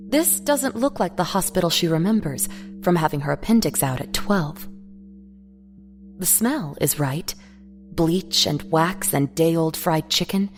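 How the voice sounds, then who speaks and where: A woman narrator reading a scripted, dramatic story. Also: lowish voice.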